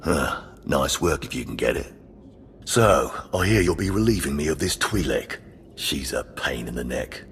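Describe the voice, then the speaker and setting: An actor playing a gruff, casually cruel Sith jailer in a video game, talking in a taunting, offhand way. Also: male voice, very deep voice, lively intonation, moderate pace, fairly bright tone, a little hiss or hum, exaggerated voice. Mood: mocking. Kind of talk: scripted video game drama acting.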